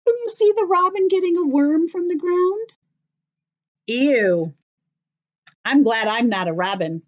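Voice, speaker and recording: Slow, high-pitched, very animated American woman teacher. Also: lo-fi sound. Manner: expressive, sing-song, instructive, with questions to young listeners. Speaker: teacher reading to young children. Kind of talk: children's story read-aloud.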